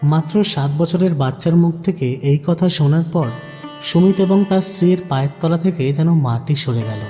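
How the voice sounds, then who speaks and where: A man's voice: a little high, normal speed, warm tone. A man narrating a scripted audio drama in a dramatic storytelling style. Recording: long reverb under clear background music.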